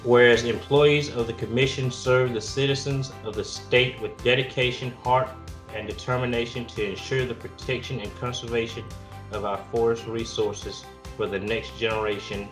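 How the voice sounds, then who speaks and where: A man's voice: medium pitch, fairly even intonation, moderate pace. A young adult man reading a resolution aloud formally. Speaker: forestry employee reading a resolution. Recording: roomy echoing webcam sound. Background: music.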